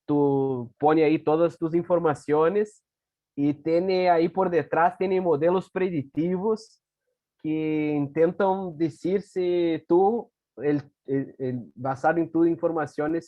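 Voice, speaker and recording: A man in his thirties from Brazil speaks Spanish over a video call with a Brazilian Portuguese accent. His voice sits a bit high and comes through dull and dry, with halting, self-correcting phrasing. He mixes in Portuguese words as he goes. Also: fairly even intonation, dry recording. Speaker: guest speaker.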